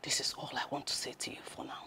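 A woman's voice: fast, pushed and bright, whispered, lightly acted. An actress playing a stern, imperious mother-in-law with a Nigerian accent, scolding and commanding in a film drama. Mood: cold, scolding.

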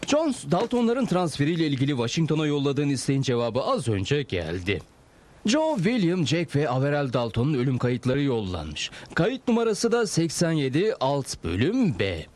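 Officious cartoon warden, very animated intonation, slightly high pitch, pompous, formal. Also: male voice, normal speed, noisy background. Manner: officious, theatrical swoops. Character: pompous middle-aged male prison warden. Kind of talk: Turkish-dubbed cartoon acting.

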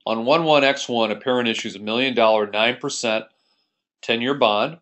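A man's voice: medium pitch, normal speed, a bit bright. An American man giving an explanatory screencast lesson as an accounting instructor, explaining methodically and step by step.

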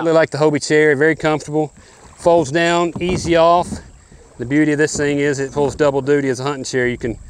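A man's voice: on the high side, slight background noise. Upbeat folksy man reviewing gear. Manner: upbeat, folksy salesman-like patter. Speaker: hunting show host. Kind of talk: product review walkthrough. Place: outdoors on a lakeshore.

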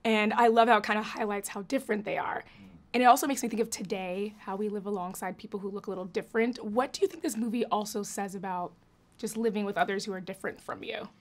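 A woman's voice: mid-range voice, fairly even intonation, fast. A woman in her thirties with an American accent, interviewing in a casual, enthusiastic, chatty way. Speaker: entertainment interviewer. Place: indoor junket interview set, seated.

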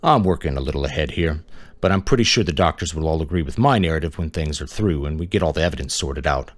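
A man doing a deep, calm big detective. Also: dry recording. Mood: reassuring. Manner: calm, unhurried, assured. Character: huge older male detective, deep and calm.

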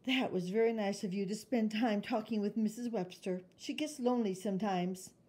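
A woman's voice: medium pitch, moderate pace, warm tone, breathy edge, natural voice. A woman voicing a kindly, good-humoured mother in a children's storybook, speaking in a reassuring way. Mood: gently amused. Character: gentle, good-humoured, indulgent mother of a little girl.

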